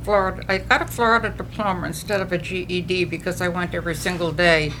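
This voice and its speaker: An older woman with a New England accent shares her recovery story in a low, earnest voice. She often restarts her phrases as she speaks. A steady hiss of background noise runs under the recording. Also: quick pace. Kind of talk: personal testimony.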